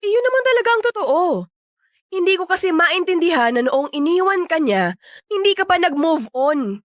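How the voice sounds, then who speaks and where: A woman's voice: very high-pitched, very animated, normal speed, no room sound, clean studio sound. A Filipino woman acting in a radio drama as a blunt, sarcastic young best friend who scolds and teases. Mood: scolding. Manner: sassy, tactless, nagging.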